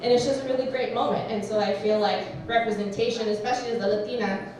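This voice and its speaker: A woman's voice: a little high, fairly even intonation, fast talker, crowd noise. A woman educator sharing a reflective personal story at a live event, speaking earnestly. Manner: earnest, reflective, ends with playful humor. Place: event hall with audience. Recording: echoey hall, mic far off.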